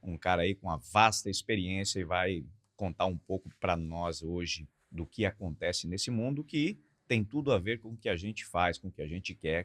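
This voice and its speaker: Low-voiced podcast host. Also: male voice. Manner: showy announcer-style opening, then relaxed chat. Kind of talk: casual podcast chat.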